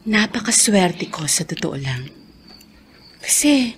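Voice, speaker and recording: An actress playing a sentimental middle-aged wife in love, tender and emotional, in a Filipino radio drama. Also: female voice, fairly low pitch, big pitch swings, slow, a little hiss or hum.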